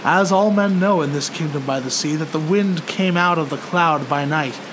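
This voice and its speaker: A man narrating poetry in a theatrical, solemn and measured dramatic recitation. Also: a little high, noisy background. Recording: loud music under the voice. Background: music.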